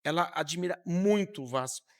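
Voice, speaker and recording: Chatty host with a dry studio sound. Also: male voice, on the high side, moderate pace, no echo. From São Paulo state, Brazil. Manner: chatty, friendly, asks many questions. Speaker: podcast host, interviewer. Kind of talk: casual interview conversation.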